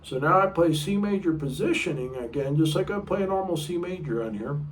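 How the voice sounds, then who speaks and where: Chatty baritone man teaching guitar. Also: lively intonation, a little fast, slight background noise. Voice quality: low baritone voice. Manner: chatty, rambling, sings short demo notes. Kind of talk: casual video lesson. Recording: room mic a bit far off, guitar playing.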